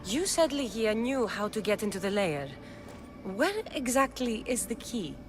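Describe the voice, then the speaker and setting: A woman's voice: on the high side, very animated, measured pace. An actress playing a tough, wry female mercenary hero of ancient Greece in a video game, with a blunt, resolute delivery. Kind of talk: dramatic video game cutscene acting. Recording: loud background music.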